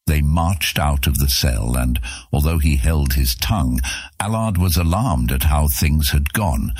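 A man narrates a fantasy tale in a very deep, husky voice. His delivery is scripted, measured and dramatic. The sound is close and very dry. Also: a little mellow, no room sound. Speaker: audiobook narrator.

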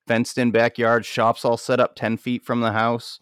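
A man's voice: mid-range voice, completely flat delivery, moderate pace, fairly bright tone, smooth, dry recording, studio quality. A mechanic in his thirties, from Maine, chatting in a laid-back, jokey way. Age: 30s. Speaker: podcast guest, mechanic. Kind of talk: casual chat.

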